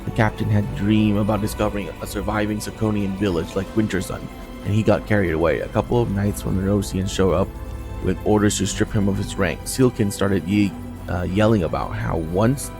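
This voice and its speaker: A man reading aloud the game dialogue of a talkative, gruff soldier, in blunt colloquial speech. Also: fairly low pitch.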